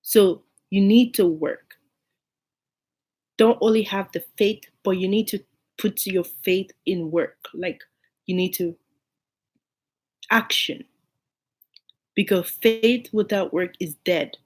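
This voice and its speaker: A woman with a cold and a hoarse, unclear voice, giving an informal sermon to her online listeners and repeating key phrases for emphasis. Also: medium pitch, takes it slowly, pauses often, darkish tone. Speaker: online preacher.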